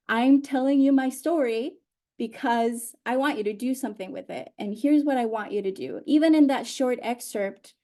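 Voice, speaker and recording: A woman from Honduras, a native Spanish speaker, answering interview questions thoughtfully with many pauses and restarts. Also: a little high, warm tone. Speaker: oral historian guest.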